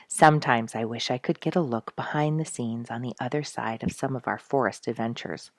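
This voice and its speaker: Low-voiced woman reading a children's story. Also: a little mellow, no echo. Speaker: storyteller reading a book chapter aloud. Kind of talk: expressive children's audiobook reading.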